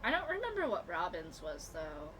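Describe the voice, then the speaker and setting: A woman's voice: lowish voice, wildly swooping pitch, normal speed, background noise. Casual woman streaming a game. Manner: informal, slangy, reactive. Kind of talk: casual live game commentary. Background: game music.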